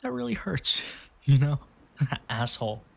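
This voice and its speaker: A man's voice: medium pitch, sing-song, measured pace, vocal fry, heavily acted. An actor playing a blunt young man with an interest in the occult, who talks in a blunt, sometimes crude way. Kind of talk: audio drama acting.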